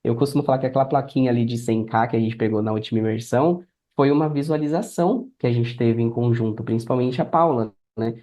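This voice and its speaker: A young Brazilian man with a mellow, relaxed tone and a medium-pitched voice. He talks as a podcast guest, explaining his work through personal anecdotes in everyday conversational speech. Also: quick pace, hardly any pauses. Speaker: podcast guest, affiliate marketer. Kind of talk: conversational interview answers.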